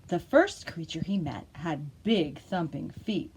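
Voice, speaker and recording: A woman hosting a children's storytime, reading aloud with expressive, encouraging, exaggerated delivery. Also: low-pitched, unhurried, breathy edge. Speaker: library storytime host. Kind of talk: animated read-aloud for young children.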